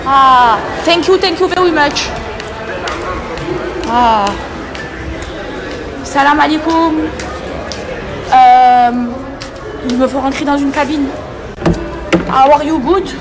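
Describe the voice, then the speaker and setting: A young woman from France, vlogging casually as she shops and chats, switching into halting broken English. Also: high voice, over music, crowd noise. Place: busy indoor shops.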